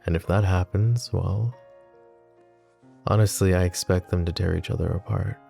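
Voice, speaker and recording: A very deep, creaky-voiced mafia boss played by a man murmurs to his listener in an intimate audio roleplay. His tone is dark and mellow, possessive yet teasing, and he takes his time between phrases. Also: steady tone, normal speed, soft background music. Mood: grave, protective.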